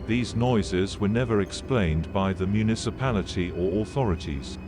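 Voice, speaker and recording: A man reading scripted documentary-style narration in a serious, measured, formal and matter-of-fact way. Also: on the low side. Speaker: narrator of an analog horror video. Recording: played video with background music. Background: music.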